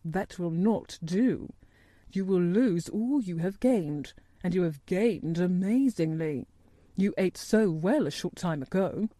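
A woman voicing a worried male doctor in a storybook, with a formal, measured and grave manner. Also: fairly low pitch, lively intonation, mellow, no echo, clean studio sound. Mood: grave concern.

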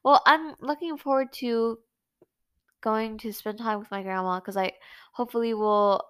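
A teenage girl talks quietly with a stuffy, congested voice. She is supportive and fills her speech with "like" and "um". The recording is dry and close. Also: fairly high pitch, fairly even intonation, moderate pace, clean tone, dry recording. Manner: soft-spoken, supportive, uses many fillers. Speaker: podcast co-host. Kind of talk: casual chat.

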